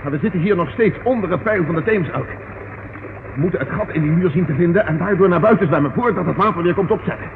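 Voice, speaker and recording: A man's voice: sits high, quick pace. A male actor playing a tough policeman in a radio drama, speaking in a curt, commanding, urgent manner. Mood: pressing, determined. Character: tough commanding male policeman rescuing his drowning colleague. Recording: noisy, tinny old radio drama recording.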